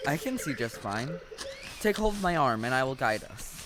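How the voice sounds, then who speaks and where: An actor playing a friendly, earnest scarecrow in a stage audio play, with deliberate theatrical phrasing. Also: medium pitch, very animated, close to an everyday voice. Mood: helpful. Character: living scarecrow, friendly, helpful, earnest companion. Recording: live stage recording with faint noise.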